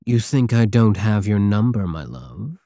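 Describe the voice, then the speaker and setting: A man's voice: fairly low pitch, big pitch swings, warm tone, dry recording, studio quality. A man playing a big, teasing, possessive space pirate king in an intimate, sardonic ASMR roleplay. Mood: cocky, teasing. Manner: slow, teasing, purring endearments, sardonic.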